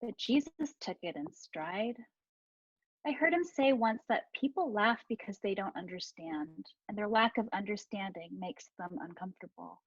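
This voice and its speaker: A woman acting as a calm disciple who reassures and explains in a biblical church drama. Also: medium pitch, normal speed, slightly rough, dry recording. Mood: reassuring. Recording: dry, slightly dull lo-fi sound.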